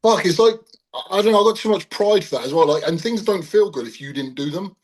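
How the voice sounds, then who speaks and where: Man, fairly high voice, emphatic and passionate, casual chat. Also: expressive, quick pace, husky.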